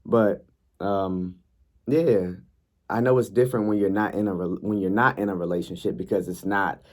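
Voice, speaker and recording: A young man vlogging in a laid-back, chatty way, sounding a bit congested and raspy from a night of yelling. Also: on the low side, steady tone, normal speed. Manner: laid-back, chatty, frequent ums and likes. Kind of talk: casual vlog talk, reading posts aloud.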